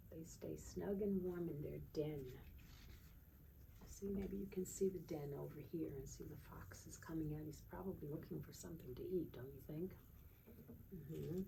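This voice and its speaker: A woman teacher leading storytime for young children, gently explaining and often checking in with little questions. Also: low-pitched, vocal fry. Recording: distant mic with faint background noise.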